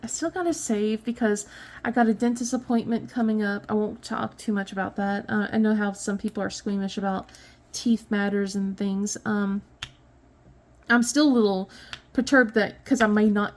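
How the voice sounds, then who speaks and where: A woman in her thirties chatting casually as a crafting vlogger, rambling with many fillers and restarts, a little sniffly. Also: a little high. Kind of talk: casual chat while diamond painting.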